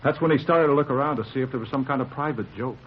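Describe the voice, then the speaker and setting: An actor playing a tough, self-justifying American bar owner shaken by a robbery, in clipped, streetwise 1950s radio police drama style. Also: male voice, medium pitch, rapid-fire, husky, lightly acted. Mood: animated recounting. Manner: clipped sentences, boastful, defensive, streetwise slang. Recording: muffled, hissy 1950s radio recording.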